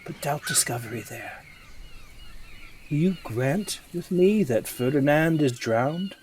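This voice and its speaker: A man's voice: on the high side, expressive, takes it slowly, darkish tone, a little hiss or hum. A man playing a scheming, insinuating Shakespearean usurper. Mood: probing, insinuating. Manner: insinuating, rhetorical, persuasive. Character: scheming usurper brother, ambitious, cold-blooded nobleman tempter. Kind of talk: Shakespearean audio drama acting. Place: recorded remotely online.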